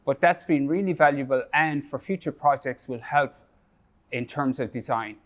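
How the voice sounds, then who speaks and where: A man presenting a project update in a measured, scripted way, reading prepared remarks. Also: mid-range voice.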